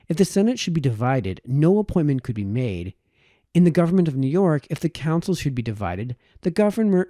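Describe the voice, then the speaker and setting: A man reading a formal historical essay aloud as an audiobook narrator, with measured, emphatic phrasing. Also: medium pitch, warm tone, no room sound, clean studio sound. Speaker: audiobook reader.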